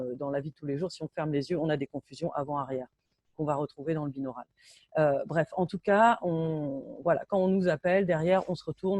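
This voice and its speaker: Low-toned, mellow woman, informal French speaker. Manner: halting, self-correcting, informal. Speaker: sound engineer and lecturer. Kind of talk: informal technical presentation in an online meeting. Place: indoors on a video call.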